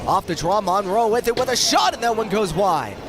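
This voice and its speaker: A man calling hockey play-by-play, sounding nasal from a head cold, with a rapid running commentary that rises on shots. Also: high voice, lively intonation, noisy background. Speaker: play-by-play announcer.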